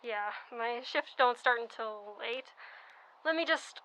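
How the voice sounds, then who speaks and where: A woman's voice: on the high side, takes it slowly, bright, forceful tone, rough recording. A woman playing a tired, chatty young working-class nurse in a radio play, with folksy, slangy talk. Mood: weary, chatty.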